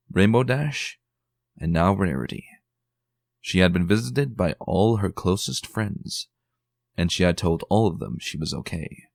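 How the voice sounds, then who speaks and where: A man's voice: sits low, no echo. Man narrating a story in a dry, close recording. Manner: measured, even storytelling. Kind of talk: dramatic story reading.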